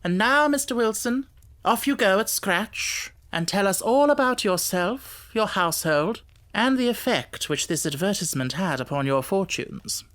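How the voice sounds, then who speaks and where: A man voicing a brilliant gentleman detective in an audiobook story, self-assured, urbane and didactic, with wry amusement. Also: high voice, lively intonation, normal speed, voice pushed up. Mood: amused.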